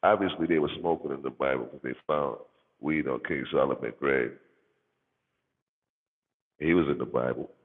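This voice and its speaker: An American man with a deep, bassy, gravelly voice chats casually in slang, rambling and repeating phrases for emphasis. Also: normal speed, pauses often. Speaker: content creator, livestream host. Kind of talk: casual rambling livestream chat. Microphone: microphone with bass turned up. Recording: boomy hall-like reverb, muffled narrow-band sound.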